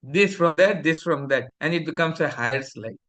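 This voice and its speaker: A man's voice: on the high side, animated, moderate pace, fairly bright tone. A middle-aged man from India with an Indian English accent, lecturing a fellowship class in a preachy, scolding way and mixing in Hindi.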